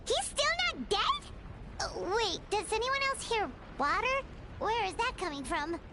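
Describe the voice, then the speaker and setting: A woman voicing a tiny, excitable, childlike fairy companion in a video game, squeaky and expressive, with loud sound effects behind. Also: a little slow, very noisy, exaggerated voice. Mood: alarmed.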